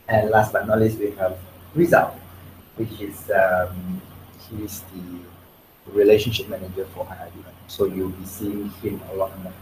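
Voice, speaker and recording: A hoarse man with a Malaysian English accent speaks in a fairly low voice, presenting to a workshop and tossing questions at the room. The sound bounces around a large echoey space with a faint hum behind. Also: a little mellow. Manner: conversational, quizzes the audience. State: losing his voice. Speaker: commercialization specialist.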